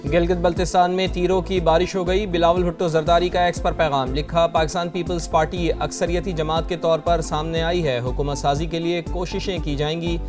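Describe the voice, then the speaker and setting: A man's voice: on the high side, steady tone, brisk, hardly any pauses. A man with a Pakistani accent reading scripted news headlines as an anchor. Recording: loud music bed under voice. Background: news music.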